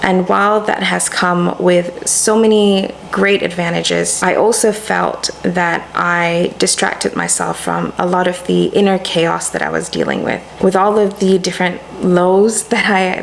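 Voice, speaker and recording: A woman's voice: on the low side, vocal fry, a little hiss or hum, rough recording. A young woman from Malaysia whose first language is Malay, talking in an earnest, reflective personal vlog as a YouTuber. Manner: earnest, thoughtful, with soft fillers.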